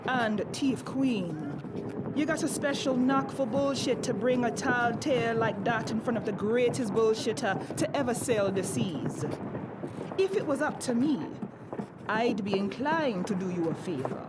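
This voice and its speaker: A woman's voice: fairly high pitch, lively intonation, unhurried, very noisy. A woman playing a shrewd, swaggering pirate captain with salty sailor's talk in a fantasy audio drama. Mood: wry, commanding. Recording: loud ship ambience behind voice.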